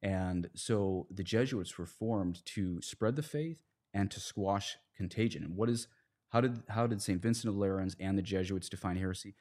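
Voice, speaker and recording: A man's voice: sits low, normal speed, darkish tone. A man speaking as a priest and podcast guest, arguing emphatically and posing rhetorical questions in an earnest discussion of religion.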